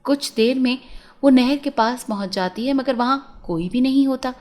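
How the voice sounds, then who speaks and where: A woman's voice: high-pitched, normal speed, a little mellow. A woman reading a scripted fairy tale as a storyteller.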